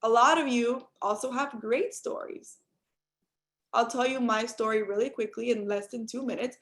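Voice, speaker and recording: A woman's voice: fairly high pitch, fairly even intonation, moderate pace. A young adult woman from Cuba giving an earnest motivational talk on a group call, speaking straight to her listeners. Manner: earnest, direct, addresses listeners as you all. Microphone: call audio.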